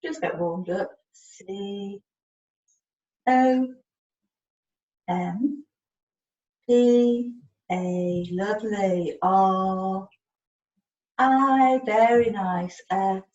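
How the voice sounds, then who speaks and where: A woman's voice: medium pitch, drawn-out words, pauses often, a little mellow. A woman patiently coaching a student one-to-one, spelling out letters and giving short, encouraging instructions. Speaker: communication support worker helping a student spell and write. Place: indoors, on a video call. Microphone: distant computer mic on video call. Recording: dull, roomy, distant video-call audio with noise.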